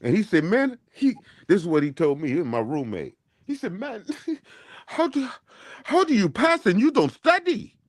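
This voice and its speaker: A man's voice: high-pitched, very animated, no room sound, clean studio sound. A man hosting a morning talk show, chatting casually in a preachy, emphatic way. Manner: preachy, drawn-out emphatic delivery.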